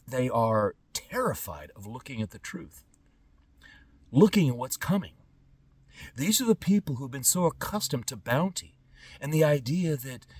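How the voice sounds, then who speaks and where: A man's voice: medium pitch, darkish tone, husky, no echo. Middle-aged man, slightly distant dry sound. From born in Trinidad and Tobago, lived in California. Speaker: podcast host. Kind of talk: unscripted monologue to camera.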